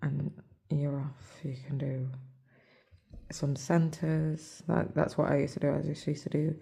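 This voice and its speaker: English woman chatting low and hushed while she stitches, hoarse and gravelly, deep flat voice. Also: unhurried. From England. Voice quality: soft, hushed, near-whispered voice. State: hoarse, rough. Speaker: cross-stitch vlogger. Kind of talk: casual chat while stitching. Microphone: phone.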